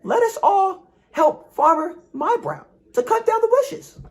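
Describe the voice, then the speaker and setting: Man putting on a high, calling bush fairy. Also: very animated, measured pace, exaggerated voice. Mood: gleeful. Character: cheerful, mischievous bush fairies calling out.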